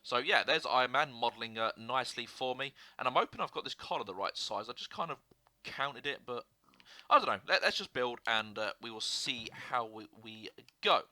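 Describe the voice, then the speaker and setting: A young man with a sore throat and a slightly hoarse voice, chatting casually as he narrates a building game, rambling with fillers and restarts. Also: medium pitch, expressive, fairly quick, bright, forceful tone, dry recording. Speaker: gaming video host. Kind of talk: casual gameplay commentary.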